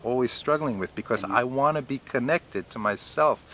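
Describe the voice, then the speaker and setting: A man's voice: medium pitch, normal speed, a bit bright, a little hiss or hum. A man in his fifties who stutters, with repeated words and blocks, talking about himself in an interview. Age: 50s. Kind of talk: personal interview.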